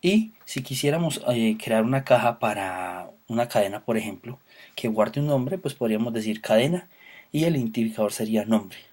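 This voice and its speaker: Husky-voiced man teaching a programming class. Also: medium pitch, expressive, moderate pace, darkish tone. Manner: patient explanation with fillers and self-corrections. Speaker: programming instructor. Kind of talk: recorded lecture.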